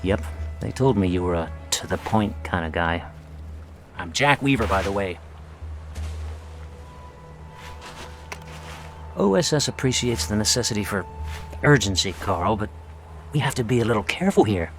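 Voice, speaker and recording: A wartime intelligence officer with a fairly low voice and a conversational, halting delivery. He comes across as wry and wary, in video game dialogue over faint music. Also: expressive. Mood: friendly, measured. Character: wry, wary male American intelligence officer, World War II.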